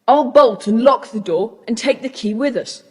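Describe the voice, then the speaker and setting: A take-charge schoolboy in a children's audio play. The voice is fairly high and husky, with a commanding, urgent manner. It rings with long reverb. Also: female voice, normal speed, darkish tone. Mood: decisive.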